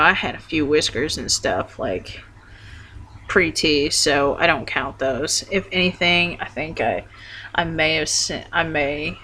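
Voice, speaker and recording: Slow, hesitant young man with a bright voice. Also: slight background noise. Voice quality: voice wobbles between deeper and higher. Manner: hesitant, rambling, full of ums and restarts. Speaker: vlogger. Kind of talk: casual video update.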